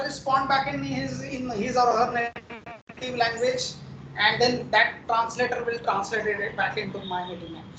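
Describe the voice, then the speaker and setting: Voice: mid-range voice, a bit bright. Instructor in an echoey room. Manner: explains patiently with everyday analogies, checks in with "okay" and "right". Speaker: software testing instructor. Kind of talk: recorded technical lecture. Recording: roomy echo, faint background noise.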